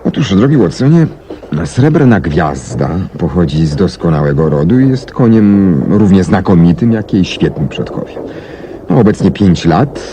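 A low male voice as a self-assured, brilliant detective in a 1970s radio drama. He speaks deliberately and articulately, with very varied melody and a courteous, methodical manner. The old recording carries faint noise. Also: very animated. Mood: explanatory.

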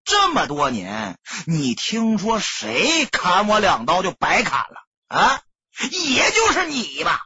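A man's voice: sits high, wildly swooping pitch, takes it slowly, sharp tone, slightly rough, no room sound, dull audio, exaggerated voice. A man voicing a veteran gang boss, menacing yet sincere and insistent, in an audiobook. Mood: earnest, reproachful. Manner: emphatic, insistent.

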